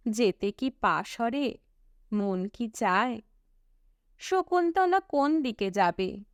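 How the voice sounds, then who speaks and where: Woman doing audiobook story narration, really slow and expressive, close dry sound. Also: a little high, clear, resonant tone, no echo. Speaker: audiobook reader.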